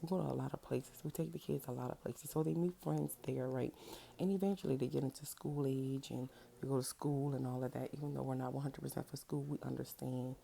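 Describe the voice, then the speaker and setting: A woman in her thirties with a naturally deep voice, a little under the weather, giving a loose, rambling message at home, full of ums and asking "right?". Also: gravelly, warm tone, a little hiss or hum. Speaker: spiritual vlogger. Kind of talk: casual direct-to-camera message. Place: home with a washing machine running. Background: washer running, children fussing at times.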